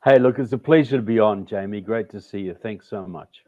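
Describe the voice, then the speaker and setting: A man's voice: on the low side, fairly quick, a little mellow, dry recording. A man with a New Zealand accent giving conversational commentary as an interview guest. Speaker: interview guest, author and political activist. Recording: tinny, narrow phone-like call audio.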